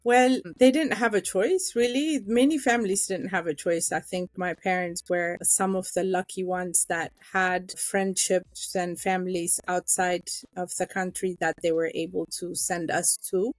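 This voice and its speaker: A woman's voice: fairly low pitch, steady tone, unhurried, hardly any pauses, no echo, faint music behind. A middle-aged woman from Ethiopia, a guest telling her own story in an interview, earnest and emotional, with many fillers.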